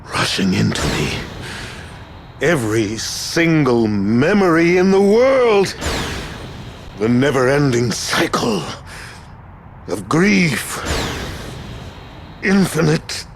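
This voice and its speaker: A man playing a tormented, wrathful god of discord in a fantasy video game, gravelly, theatrical and anguished. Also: fairly high pitch, big pitch swings, very slow, long pauses, slight background noise, full character voice. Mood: bewildered, anguished.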